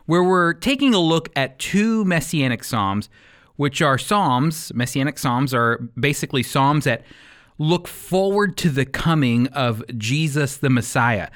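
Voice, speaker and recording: A man's voice: mid-range voice, a bit bright. A man hosting and teaching a Bible radio program in an explanatory, emphatic way, working from a script.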